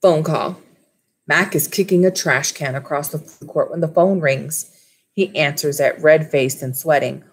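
Low-voiced woman reading a storybook. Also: a little slow, husky. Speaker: school librarian reading aloud. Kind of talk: storybook read-aloud with asides to children. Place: small wood-panelled room at home. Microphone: webcam or laptop at desk distance.

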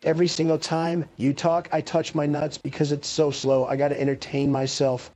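Boastful, petulant Trump-style president caricature, voice-changed. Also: male voice, a little high, little pitch movement, normal speed, a little mellow, soft background music. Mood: angry mocking. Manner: boastful, petulant, foul-mouthed bickering. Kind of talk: improvised livestream impersonation through an AI voice changer.

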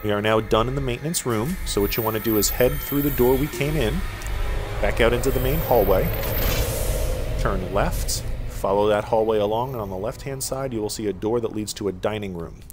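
Low-voiced man giving game walkthrough instructions. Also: a little fast. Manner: methodical, matter-of-fact. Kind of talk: instructional gameplay commentary. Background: music and game sound.

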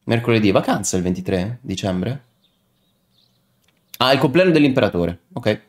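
A man's voice: on the low side, animated, moderate pace. A young man doing casual live game commentary, muttering to himself and chatting with viewers as he plays. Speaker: video game streamer. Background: video game music.

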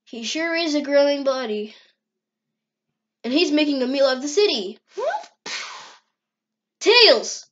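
A woman's voice: high voice, animated, a little slow, long pauses, fairly bright tone, dull audio. A woman voicing a brash young male hedgehog, a worried best friend, in an amateur comic dub. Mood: urging.

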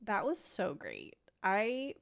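A woman's voice: mid-range voice, wildly swooping pitch, slow, creaky, a bit bright. Young woman streamer with a slight lisp. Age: young adult. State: tired. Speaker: streamer reacting to game trailers. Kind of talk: casual reaction chat. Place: small room at home. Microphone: close desk microphone with headset.

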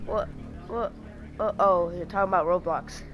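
A woman's voice: on the low side, moderate pace, fairly bright tone, faint music behind. A young woman chatting casually and teasing a friend while playing a game, laughing often. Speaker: gamer streaming with a friend. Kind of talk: casual gameplay chat.

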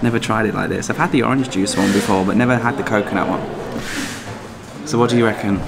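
A man, chatting casually to a handheld camera as he travels, talking to animals in a high playful voice now and then. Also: fast talker, vocal fry, very noisy. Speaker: travel vlogger. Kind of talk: casual travel vlog chat. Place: outdoors at a petting farm and a dam. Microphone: handheld camera at arm's length.

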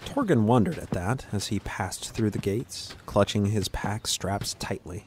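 A man narrates a fantasy tale from a script. His voice sits a little low, and there is faint noise in the recording. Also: measured pace, darkish tone.

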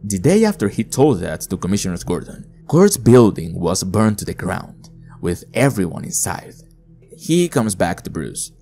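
A male narrator with a low voice delivers a scripted story recap. His intonation is lively and varied as he explains events. Faint music plays behind him. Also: moderate pace, creaky. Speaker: video narrator.

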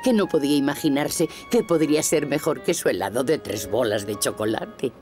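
A woman with a Castilian Spanish accent playing the gentle storyteller of a preschool cartoon. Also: very deep voice, lively intonation, normal speed. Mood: gentle storytelling. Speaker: narrator. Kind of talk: scripted children's cartoon narration. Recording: music behind the voice.

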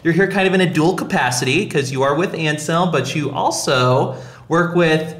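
A man's voice: a little high, normal speed, fairly bright tone, reverberant. A man hosting a casual podcast, chatting in a jokey, self-deprecating way. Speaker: podcast host.

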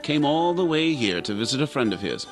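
A man's voice: medium pitch, big pitch swings, brisk, natural voice. Chatty, worldly male radio-play narrator actor, mid-range, dryly amused, with prominent string music behind. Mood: wry, casual. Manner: wry, rambling, conversational. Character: worldly, chatty British narrator of post-war Vienna. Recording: music playing under the voice.

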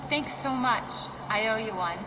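A woman's voice: on the high side, measured pace, lightly acted. An actress playing an earnest, worried young female wrestler in a video game cutscene. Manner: pleading, earnest. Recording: distant noisy game audio.

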